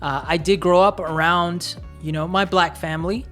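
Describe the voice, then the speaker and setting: A woman's voice: on the low side, steady tone, moderate pace, fairly bright tone, soft background music. A woman from the United States co-hosting a casual chat, speaking reflectively in long, searching sentences full of fillers. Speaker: co-host.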